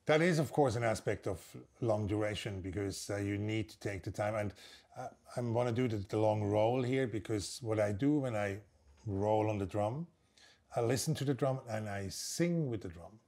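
Low-voiced Swiss German man in his sixties. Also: moderate pace, dark tone. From Basel, Switzerland. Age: 60s. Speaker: interviewed composer and percussionist. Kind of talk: reflective interview conversation. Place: indoor room at a large wooden table under glazed roof.

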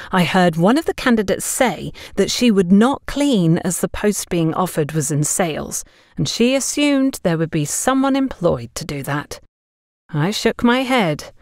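A woman's voice: lowish voice, darkish tone, no room sound, studio quality. A woman reading a scripted story as an audiobook narrator.

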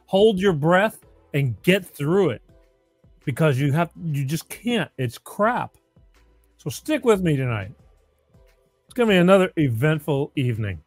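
Raspy, higher-pitched male talk show host. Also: no room sound. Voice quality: somewhat raspy. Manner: plain-spoken, repeats phrases for emphasis. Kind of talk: informal live opinion monologue to listeners.

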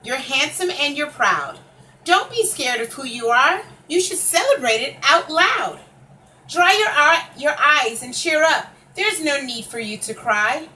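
A woman's voice: high-pitched, lively intonation, a little slow, sharp tone, full character voice. A woman voicing a kind, encouraging little meerkat in a children's picture book, earnest and coaxing. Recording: distant microphone, faint background noise.

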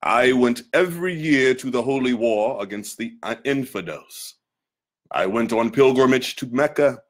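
A man giving a deliberate, solemn first-person reading of a historical autobiography. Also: lowish voice.

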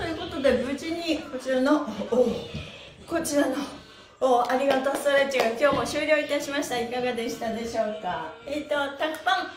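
A woman's voice: high-pitched, background noise. A middle-aged woman leading a live-streamed exercise class in a cheerful, encouraging way, exclaiming as she instructs. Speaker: stretch instructor and pantomime teacher. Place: small bare room indoors with wooden floor. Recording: roomy, echoey indoor sound.

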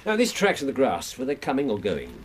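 An actor playing a brisk, eccentric, self-assured English male detective in a radio drama, precise and mercurial in delivery. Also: mid-range voice, huge pitch swings, slight background noise. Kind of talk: BBC radio drama acting.

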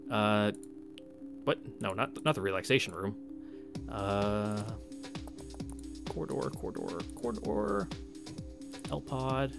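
Young man, low-ish voice, casual wry commentary, reading a visual novel, game music behind. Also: lively intonation, slow pace, gravelly, long pauses. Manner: casual, wry asides with filler. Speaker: let's-play narrator.

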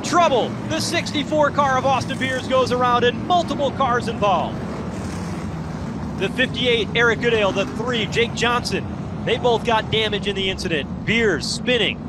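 A man calling live play-by-play for a stock car race, with excited, rapid race calling. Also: very high-pitched. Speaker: race commentator. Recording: loud race-car noise underneath. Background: roaring race engines.

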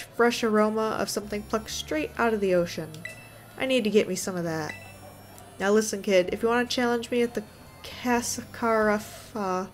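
A woman voicing an excitable, food-obsessed old man gym leader with folksy exclamations. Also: close to an everyday voice. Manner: exclaiming, folksy, booming catchphrase.

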